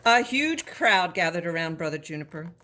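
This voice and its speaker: A woman reading a children's story aloud in an expressive, animated way, as a storybook reader. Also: lowish voice, moderate pace, a little hiss or hum.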